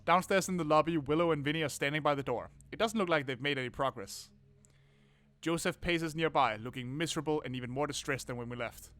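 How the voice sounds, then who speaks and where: A man streaming a visual novel, reading it aloud and reacting with animated, laughing, swearing commentary. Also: on the high side, quick pace. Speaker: game streamer.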